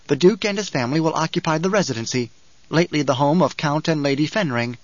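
A man voicing a coolly calculating, sly adviser in an audiobook, speaking in a precise, measured, smug manner. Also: on the high side, no room sound, dull audio, lightly acted. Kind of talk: audiobook character acting.

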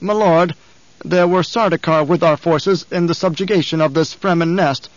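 Brief: a man voicing an edgy, drug-dulled captain who blurts out answers to his master, pitched up high. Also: no room sound, natural voice. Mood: anxious. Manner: eager, hurried, deferential. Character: nervous male guard captain, drug-dulled, flustered. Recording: dull, dry studio recording.

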